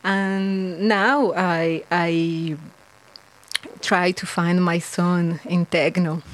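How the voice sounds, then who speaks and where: A Colombian woman speaking in halting, non-native English with a Spanish accent. She is a guest DJ in a casual interview who searches for words, trails off softly and laughs often. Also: fairly low pitch, steady tone.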